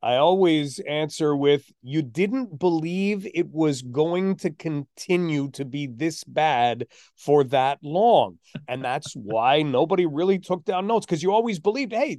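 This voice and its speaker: A man hosting a sports podcast, telling stories conversationally with a deliberate, emphatic build-up. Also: fairly high pitch, a little mellow, no room sound, clean studio sound. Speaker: podcast host and sports announcer.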